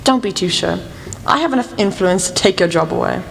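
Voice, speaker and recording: A woman's voice: medium pitch, lively intonation, a little fast, creaky. A woman playing a commanding, shrewd female detective in a radio play, speaking in a stern, formal way. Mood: stern accusing. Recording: long reverb, music underneath.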